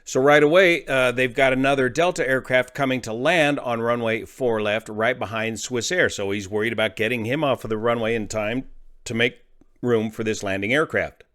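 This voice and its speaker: A man's voice: medium pitch, normal speed, fairly bright tone. A man hosting an aviation show, explaining incidents step by step in an emphatic, explanatory way. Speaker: aviation commentator and host.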